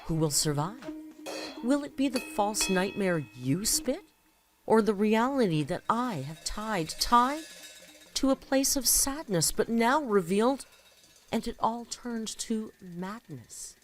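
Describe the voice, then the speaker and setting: A woman's voice: on the high side, animated, slow, faint music behind. Woman putting on an overwrought, wounded spoken-word poet. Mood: hushed, ominous. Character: overwrought wounded female poet reciting her own bitter verse. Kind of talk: melodramatic spoken-word poetry.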